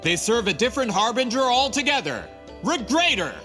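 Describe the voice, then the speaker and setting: A man's voice: very high-pitched, big pitch swings, fairly quick, sharp tone, heavily acted. A man voicing a greedy, scheming male printing merchant in a game cutscene, smug and scornful. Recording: game audio with reverb and music.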